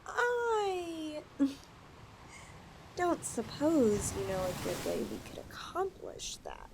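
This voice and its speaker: High, lilting, possessive snake-woman played by an actress. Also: wildly swooping pitch, slow pace, noisy background, heavily acted. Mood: teasing, ominous. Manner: coaxing, sweetly condescending, teasing. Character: possessive, flirtatious snake-woman lamia seeking a mate. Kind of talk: roleplay ASMR acting.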